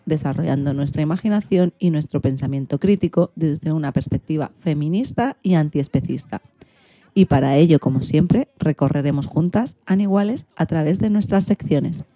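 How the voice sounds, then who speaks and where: A woman's voice: sits low, dark tone, no echo. A woman hosting a radio show, presenting from a prepared script. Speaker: host.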